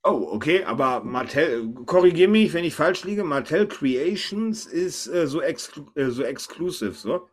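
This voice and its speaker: A man's voice: a little high, animated, unhurried, few pauses, husky. A man hosting a podcast, chatting casually and informally about pop culture with his co-hosts. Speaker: podcast host.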